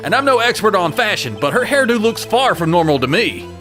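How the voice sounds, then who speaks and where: A man's voice: high voice, sing-song, brisk, a bit bright. A man voicing an earnest young male defense attorney who exclaims and stammers when caught off guard. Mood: determined. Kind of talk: fan dub game voice acting. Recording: with background music.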